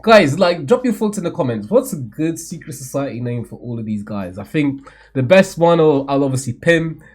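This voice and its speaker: A man hosting a manga read-along, giving slangy, emphatic and expressive fan commentary. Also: a little high, normal speed, husky.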